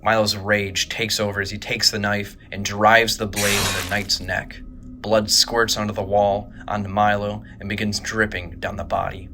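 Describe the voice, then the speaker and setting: A man narrating a scripted horror audio drama in a measured, grave manner. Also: fairly low pitch, little pitch movement, over music.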